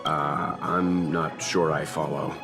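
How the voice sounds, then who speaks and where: A composed young skeptic in a video game, his voice sitting low. He speaks in a level, matter-of-fact way with dry humor. Background music runs under the scene. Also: level tone, slow pace, heavily acted. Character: calm, reserved young man, dry skeptic. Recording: game cutscene with music behind.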